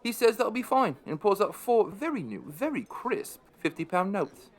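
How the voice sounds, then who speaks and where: A man's voice: high voice, very animated, normal speed, exaggerated voice. An actor playing a wry, chatty pub landlord, narrating his story in a conversational way for an audio drama.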